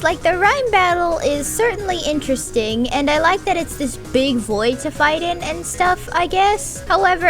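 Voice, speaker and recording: A woman giving a sarcastic, swearing anime review with mock-dramatic asides. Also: high-pitched. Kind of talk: sarcastic video review commentary.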